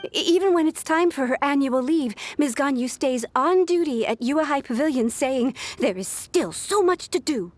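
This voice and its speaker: A woman's voice: high-pitched, expressive, normal speed, no echo. A woman voicing an earnest, anxious young secretary in a video game, with a polite manner. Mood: worried.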